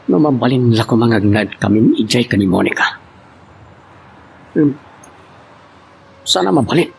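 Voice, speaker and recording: A man's voice: medium pitch, very animated, moderate pace, gravelly, slightly rough, exaggerated voice. A man with an Ilocano accent acting an evasive, impatient cheating husband in an amateur audio drama. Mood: impatient, worried. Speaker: actor in an amateur radio-style drama.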